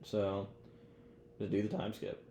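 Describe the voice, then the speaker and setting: Casting: young American male podcaster, low and mellow, loose slangy delivery that rambles, roomy noisy recording. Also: completely flat delivery, unhurried. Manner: rambling, heavy use of "like" and "bro". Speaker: podcast co-host. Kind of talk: casual, slangy pop-culture chat. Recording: roomy echo, clear background noise.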